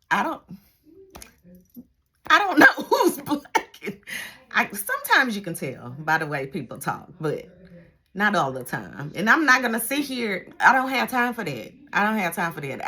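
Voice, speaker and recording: A woman's voice: lowish voice, pushed and bright, breathy edge. A middle-aged American woman reminiscing casually, acting out little exchanges and repeating phrases for emphasis. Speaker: vlogger, retired police dispatcher. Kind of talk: casual reminiscing chat to camera.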